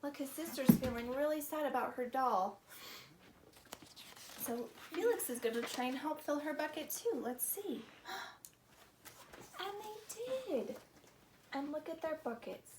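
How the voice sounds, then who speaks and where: A woman hosting a story time for young children, talking in simple, animated, exclaiming phrases. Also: sits high, slow, pauses often. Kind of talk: expressive read-aloud to preschool children. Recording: roomy echo, distant mic, background noise.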